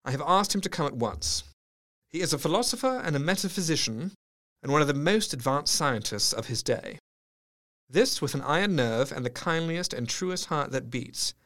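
Fairly high, earnest young doc reading his letters calmly. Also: normal speed. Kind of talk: radio drama, reading letters and diary entries.

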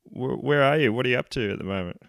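A man with an Australian accent hosting a casual music podcast, upbeat and quick to laugh. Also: mid-range voice, a bit bright, no echo, clean studio sound. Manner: upbeat, laughs easily, many fillers. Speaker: podcast host.

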